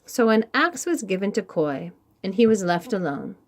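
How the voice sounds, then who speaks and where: A woman reading a storybook aloud for young children in an animated, deliberate storytelling style. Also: mid-range voice. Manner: animated, deliberate, with sound words.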